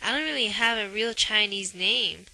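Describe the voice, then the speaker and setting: A woman's voice: medium pitch, normal speed, vocal fry, sharp tone, no echo, low-quality recording. A young woman narrating a personal documentary in an earnest voice.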